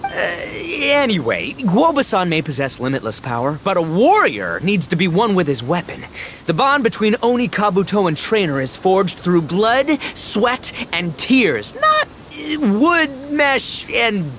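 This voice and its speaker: An actor playing a brash, hot-blooded young oni gang leader in a video game, boastful and given to bombastic declarations. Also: male voice, high voice, huge pitch swings, a little slow, a bit bright, a little hiss or hum, heavily acted. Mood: cocky.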